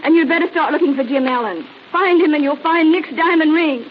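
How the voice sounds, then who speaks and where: An actress playing an excited, frightened young wife in an old radio drama, stammering and breathless. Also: female voice, high voice, brisk, lightly acted. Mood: anxious, insistent. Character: excited, frightened young wife fearing her husband was murdered. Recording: dull, hissy old radio recording.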